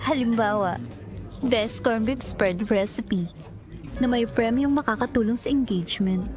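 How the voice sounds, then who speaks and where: A woman's voice: fairly high pitch, measured pace, over music, natural voice. A woman playing an eager young employee with a Filipino accent in a romantic audio drama, polite to her boss and secretly smitten. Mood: earnest, professional. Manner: polite and deferential, with flustered girlish asides. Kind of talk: romantic audio drama acting, Tagalog-English mix.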